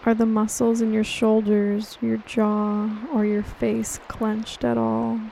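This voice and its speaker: A woman leads a guided meditation in a calm, gentle, reassuring and unhurried way, as soothing scripted narration. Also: a little high, gravelly, slight background noise. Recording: steady rain on water behind voice. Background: rain falling on water.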